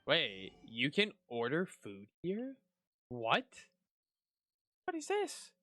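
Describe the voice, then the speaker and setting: A man giving casual gameplay commentary, informally reacting and thinking out loud as he plays. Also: fairly high pitch, huge pitch swings, takes it slowly, no room sound. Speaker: gaming content creator. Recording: game music behind the voice.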